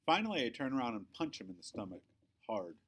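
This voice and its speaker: A man reading a children's novel aloud in an expressive storytelling style. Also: mid-range voice, fast talker, breathy edge. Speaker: audiobook-style reader.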